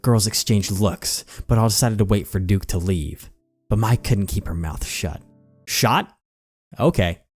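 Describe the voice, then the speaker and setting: A man's voice: on the low side, normal speed, a little mellow, breathy edge. A man reading a story aloud in a theatrical narrating style with music behind him. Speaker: channel host reading fan fiction aloud. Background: background music.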